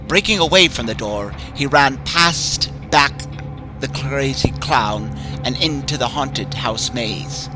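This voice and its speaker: A man narrates a horror story in a measured, suspenseful way. His voice sits at an ordinary middle pitch. A clear music bed plays under him. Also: poor audio. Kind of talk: dramatic horror story reading.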